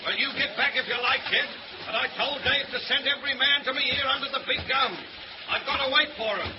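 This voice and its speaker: A man's voice: very high voice, a little fast. An actor playing a bossy, worried Australian country father in a 1930s radio serial, speaking urgently and giving orders. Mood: commanding. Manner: urgent, commanding. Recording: muffled, noisy 1930s radio recording.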